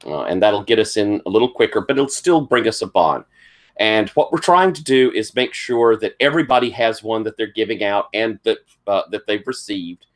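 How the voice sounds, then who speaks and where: A man's voice: fairly low pitch, moderate pace. A tired man with a thick Southern US accent, politely walking players through tabletop game setup as game master. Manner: polite, accommodating. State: tired from lack of sleep.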